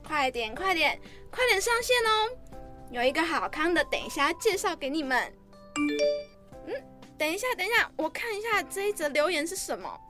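A woman's voice: very high-pitched, sing-song, normal speed, over music. A woman acting in a radio drama as a vain, bubbly young livestreamer, with cutesy, gushing sales patter. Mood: gushing, excited.

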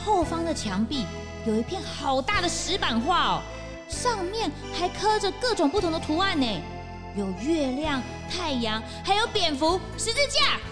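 A very high, childlike voice for a timid, food-loving young sidekick in a children's detective radio play. The delivery is whiny and exaggerated, with drawn-out exclamations. Music plays clearly underneath. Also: female voice, big pitch swings. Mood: excited. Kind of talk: children's detective audio drama.

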